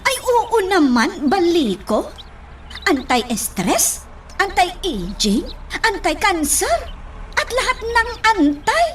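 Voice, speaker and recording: A woman's voice: very high voice, big pitch swings, slow pace, a little hiss or hum, full character voice. A woman playing a sweet, coy, chatty young shop worker in a comic Ilocano radio romance. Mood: coaxing, chirpy. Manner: coaxing, coy, mixes Tagalog and Ilocano.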